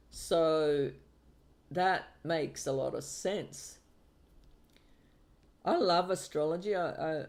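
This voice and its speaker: A woman's voice: fairly low pitch, a little mellow. A middle-aged woman from Finland who teaches palmistry, chatting casually on her livestream in a hesitant way, with many fillers and restarts. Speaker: palmistry teacher and channel host.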